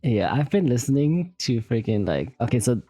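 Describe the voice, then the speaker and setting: A woman with an American accent asking questions in a casual podcast chat, soft-spoken and giggly. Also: very deep voice, sing-song, moderate pace, husky, dry recording, clean studio sound.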